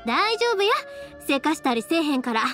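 A woman playing a cheerful, caring, energetic young fireworks maker with a Kansai accent in an anime game. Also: high voice, brisk, soft background music. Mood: determined, reassuring.

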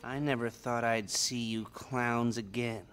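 An actor voicing a sickly, sardonic middle-aged American hacker in a video game cutscene, peevish and sarcastic. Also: male voice, medium pitch, flat delivery, unhurried, fairly bright tone, a little hiss or hum, lightly acted.

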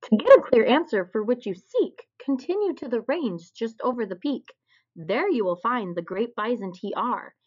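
A woman's voice: a little high, sing-song, moderate pace, a little mellow, slightly rough. A woman voicing a helpful female magpie in a children's storybook. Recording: dull, lo-fi recording.

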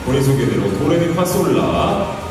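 A man's voice: mid-range voice, sing-song, normal speed, crowd noise. A man hosting a live music showcase, upbeat and joking as he cues each performer. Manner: upbeat, joking, directing poses. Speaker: event emcee. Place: large indoor stage with music. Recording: roomy, far off, loud music.

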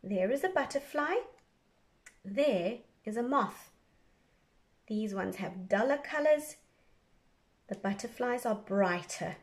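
A woman teacher reads aloud to young children. Her voice sits a little high. She takes it very slowly, with measured, instructional phrasing and carefully formed words. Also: animated.

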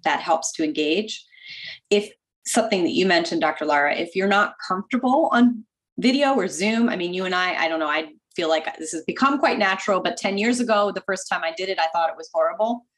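A woman in her forties, a doctor guest on a video call, explaining practical tips in a clear, instructive way through wired earbuds. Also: mid-range voice. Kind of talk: interview answers, practical tips. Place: home office with bookshelf.

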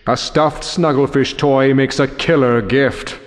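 An actor playing an ominous, mockingly dramatic aquarium exhibit announcer in a video game, with theatrical mystery. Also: male voice, mid-range voice, steady tone, unhurried, lightly acted. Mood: ominous, wry. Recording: long cavernous hall reverb.

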